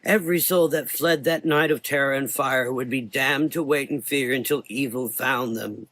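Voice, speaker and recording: A man voicing a frightened, guilt-ridden blind old doctor in a gothic audio drama, anguished and halting as he talks. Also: medium pitch, a bit bright, no room sound, close to an everyday voice. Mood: haunted, fearful.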